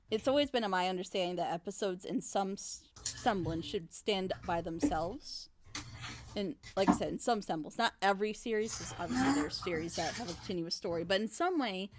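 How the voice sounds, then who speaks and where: A woman's voice: medium pitch, animated, normal speed. A woman hosting a casual group commentary chat, speaking in a hedging, self-critical way. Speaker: host of a group reaction discussion. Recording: noisy mic with clicks.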